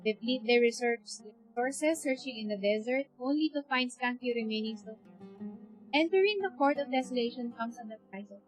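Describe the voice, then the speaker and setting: A woman's voice: on the high side, normal speed, poor audio. A woman with a Filipino accent doing casual live game commentary, reading on-screen text aloud and muttering short reactions between lines. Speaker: game streamer. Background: game music.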